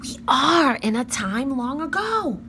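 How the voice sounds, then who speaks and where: Woman as cautious young boy, bossy, skeptical, exasperated, terse, raised pitch, expressive swings, children's storybook. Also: slow pace, slight background noise, exaggerated voice. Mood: awed. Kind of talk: children's storybook read-aloud.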